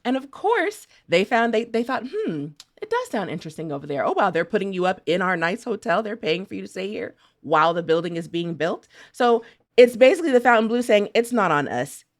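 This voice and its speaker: Female podcast contributor, lively pitch swings, casual slangy recap, theatrical quoting. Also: brisk. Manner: theatrical, acts out paraphrased quotes. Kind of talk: casual, slangy storytelling chat.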